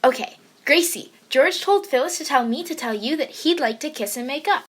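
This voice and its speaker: A woman's voice: high-pitched, lively intonation, moderate pace. A woman playing a brash, grumbling visitor in a 1940s-style radio comedy. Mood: nosy, playful.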